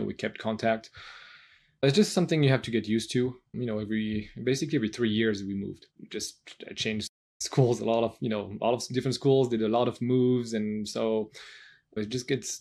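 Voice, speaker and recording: A man's voice: lowish voice, vocal fry, a little mellow. A French man in his thirties with a French accent, speaking English as a second language and casually telling his own story as a podcast guest. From France. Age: 30s.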